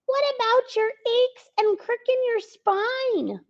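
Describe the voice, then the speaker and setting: A woman voicing fussing, worried elves and gnomes with high, anxious exclaiming. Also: slow pace, full character voice. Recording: dull lo-fi recording.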